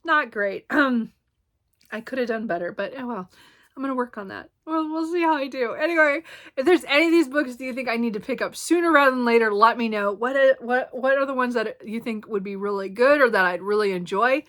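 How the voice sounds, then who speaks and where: Female book vlogger, casual and chatty, bright tone, slight hoarseness, meandering delivery full of fillers. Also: fairly high pitch, brisk. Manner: rambling, many ums and self-corrections. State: slightly hoarse, clearing throat. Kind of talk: casual book haul chat.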